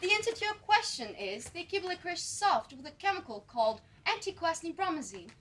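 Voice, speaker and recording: Schoolgirl as a preachy young auditioner, bright lively high voice, declamatory, faint noise. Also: a little fast, heavily acted. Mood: lecturing. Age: teens. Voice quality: declamatory, self-assured. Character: vain opinionated young woman auditioning, lecturing. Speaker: student actor. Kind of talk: school stage play acting.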